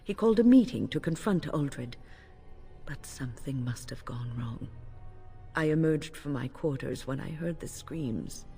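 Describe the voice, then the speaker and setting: A woman playing an older mage in a fantasy video game, grave, weary and composed, telling things in a measured way. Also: deep voice, lively intonation, dark tone, in a large hall, faint music behind.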